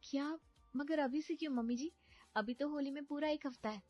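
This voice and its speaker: A high, snappish young bride, as a woman puts her on. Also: brisk, highs cut off, faint music behind, lightly acted. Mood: dismissive. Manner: snappish, complaining. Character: cranky young bride who hates festivals. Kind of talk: cartoon storybook voice acting.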